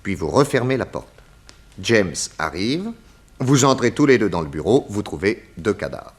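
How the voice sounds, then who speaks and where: An actor playing a confident private detective in a 1960s French radio crime serial, speaking in a courteous, methodical and theatrical way. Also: male voice, on the low side, big pitch swings, normal speed. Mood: methodical, assertive. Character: confident male private detective exposing a murderer.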